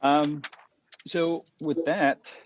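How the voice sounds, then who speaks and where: A man chairing a club meeting over a video call, moving things along briskly with some filler words. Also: on the high side, little pitch movement, highs cut off, slight background noise.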